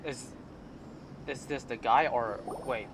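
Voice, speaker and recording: A man's voice: mid-range voice, slow pace, very noisy. A man giving casual gameplay reactions as a video host, slangy and full of incredulous interjections. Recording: game music loud behind voice.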